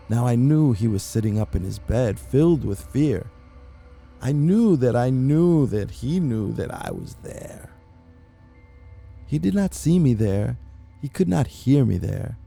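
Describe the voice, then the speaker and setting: A man giving a dramatic reading of a classic horror story, brooding and intense, with sudden hushed drops and rising outbursts. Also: mid-range voice, vocal fry, husky. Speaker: reader.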